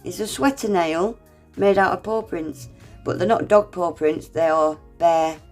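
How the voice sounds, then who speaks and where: A woman's voice: lowish voice, unhurried, a little mellow, soft background music. A woman with a congested, under-the-weather voice, chattily talking viewers through a nail art tutorial. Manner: chatty, self-deprecating, addresses viewers as guys. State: congested, unwell. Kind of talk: casual step-by-step tutorial narration.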